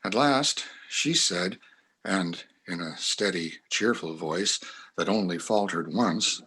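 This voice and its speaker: A man's voice: sits low, takes it slowly, bright, forceful tone, breathy edge. A man narrating a classic story as a community reader in a dramatic reading.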